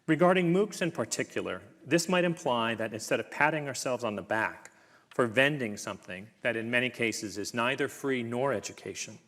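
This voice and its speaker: A man giving a university public lecture and then answering audience questions in a measured, thoughtful way, with frequent ums and uhs. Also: medium pitch, expressive, fairly bright tone. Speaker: guest lecturer, professor.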